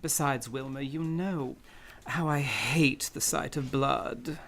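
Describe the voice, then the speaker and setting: An actor playing a suave, sinister crime boss in an old-time radio drama, pompous and mock-genteel, with a gravelly voice. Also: male voice, mid-range voice, slow pace, fairly bright tone, slight background noise. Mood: smug, unruffled. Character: suave, sinister older male crime boss, smugly refined.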